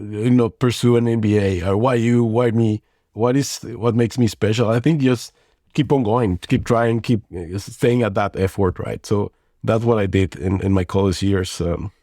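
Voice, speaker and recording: A man's voice: mid-range voice, darkish tone, dry recording, studio quality. A man in his forties from Mexico, a podcast guest who speaks thoughtfully with frequent restarts and fillers. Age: 40s. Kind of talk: conversational interview.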